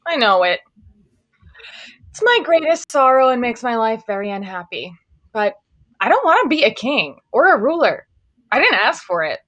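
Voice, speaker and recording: Woman playing a high-voiced, plaintive cowardly lion. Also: sing-song, normal speed, pauses often, a bit bright, dull audio. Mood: miserable. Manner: plaintive, self-pitying. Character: cowardly, melancholy lion lamenting his lack of courage. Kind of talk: live table read of a children's fantasy story.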